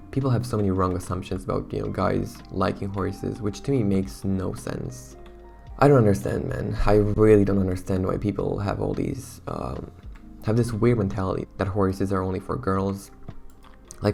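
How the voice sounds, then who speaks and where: A young man, hoarse from losing his voice, chatting casually and rambling to his viewers as a YouTuber. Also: low-pitched, a little mellow. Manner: chatty, rambling, self-conscious. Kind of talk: casual talk to camera.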